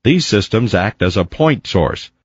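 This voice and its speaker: A man with a low, husky voice narrates instructional material. His delivery is measured and precise, with lively intonation that clearly marks each point of the scripted lesson. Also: muffled recording. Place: close, dry voice-over recording.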